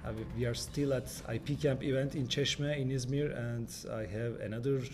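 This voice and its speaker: A man hosting a podcast interview at an event, speaking halting non-native English. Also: medium pitch, steady tone, over music. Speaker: podcast host. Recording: clear background noise, event ambience.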